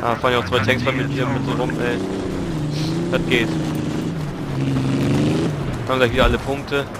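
A young man with a cold, co-hosting a gaming video with excitable, fast banter. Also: mid-range voice, very noisy. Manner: fast, excitable, swears casually. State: has a cold. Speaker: gaming channel co-host. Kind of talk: excited let's-play banter. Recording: loud game gunfire under the voice.

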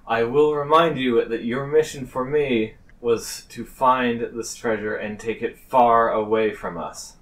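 A man's voice: mid-range voice, fairly even intonation, slow pace, fairly bright tone. A man voicing a pompous little slime king in a tabletop game, with formal, grandiose speech. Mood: stern, formal. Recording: distant mic, faint noise.

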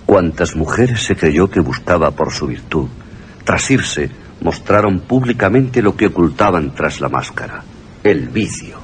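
An actor playing a stern, moralizing guardian in a radio drama, grave and formal. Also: male voice, low voice, expressive, unhurried, a little hiss or hum. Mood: grave, disapproving. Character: stern male guardian reading a warning letter. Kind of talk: Spanish radio drama acting.

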